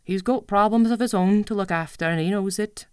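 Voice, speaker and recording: A woman voicing a gentle, weary middle-aged mother who speaks softly and consolingly. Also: medium pitch, fairly quick, clear, resonant tone, no echo, studio quality, voice pushed up, lightly acted. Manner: soft and consoling.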